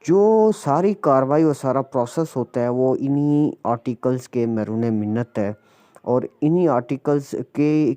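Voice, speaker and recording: A man with a Pakistani accent giving an explanatory law lecture, slowing down when he reads out legal text. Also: medium pitch, warm tone. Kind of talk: explanatory lecture mixing Urdu and English.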